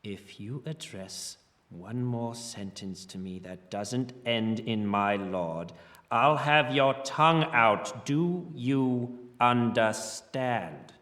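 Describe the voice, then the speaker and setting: A man's voice: medium pitch, lively intonation, slow, fairly bright tone, in a large hall. An actor playing a cruel, smug young nobleman in an audio drama, speaking in a haughty, formal, menacing way.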